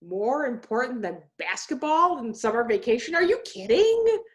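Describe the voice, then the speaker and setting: A woman plays a storybook boy full of excitement and disbelief. He exclaims in a raised, high pitch with playful teasing. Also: moderate pace. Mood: incredulous. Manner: exclaiming, incredulous. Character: excited, teasing boy who loves basketball.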